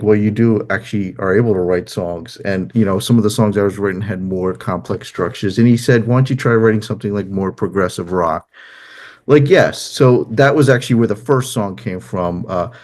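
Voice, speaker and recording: Low-voiced New Jersey man, halting. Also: darkish tone. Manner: hesitant, many ums and restarts. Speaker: interview guest, composer. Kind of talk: casual interview talk.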